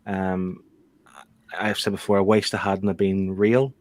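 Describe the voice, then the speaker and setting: A man with a somewhat low voice gives a casual review as a panelist. He sounds tentative, working out his thoughts aloud as he talks. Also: level tone, measured pace, dry recording. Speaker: book club panelist.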